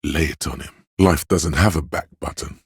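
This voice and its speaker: A sombre, knowing inner voice of empathy for a narrative video game. It is an exceptionally deep male voice, thick with husk and rasp, recorded dry and close. The delivery is measured, gentle and weighty, as if confiding in a troubled detective. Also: no room sound, full character voice. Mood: urging, assured.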